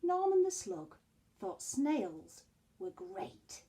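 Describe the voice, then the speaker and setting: A woman reading a storybook to young children as a teacher would, expressively, with hushed, chatty asides to her listeners. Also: high-pitched, big pitch swings, drawn-out words.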